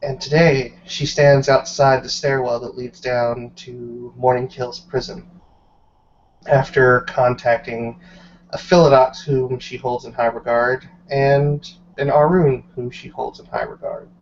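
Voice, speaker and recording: A man narrating a tabletop roleplay session as game master, dramatic and deliberate, with storyteller pauses. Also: mid-range voice, level tone. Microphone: online group voice call. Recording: muffled online call with background noise.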